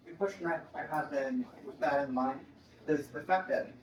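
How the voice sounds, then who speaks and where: A male reporter asking halting questions at a press conference. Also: a little high, a little mellow, echoey room, recorded from a distance, low-quality recording. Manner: halting, restarts his questions.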